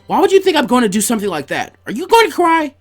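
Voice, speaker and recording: An excitable young boy acted by a man reading aloud, pitched high and swinging widely. He is stubborn and defiant, exclaiming his lines as in an audiobook tale. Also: fast talker, soft background music, full character voice.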